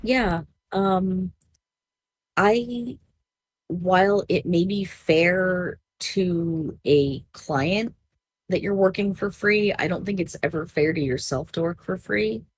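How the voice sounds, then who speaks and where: A woman on a discussion panel giving casual advice, halting as she goes and repeating phrases for emphasis. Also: lowish voice. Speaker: podcast panelist.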